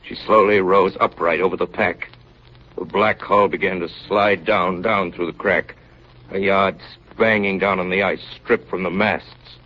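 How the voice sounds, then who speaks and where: Male solemn chief engineer narrator, low and measured, dull 1930s radio sound. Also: steady tone. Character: grave, hardy chief engineer recounting an Arctic disaster. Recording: muffled, noisy 1930s radio broadcast recording.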